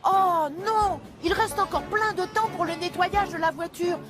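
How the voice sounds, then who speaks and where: A woman's voice: extremely high pitch, a little slow. A woman voicing a cheeky young boy who dodges chores, exclamatory, in a French children's cartoon. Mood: dismayed. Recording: music behind the voice.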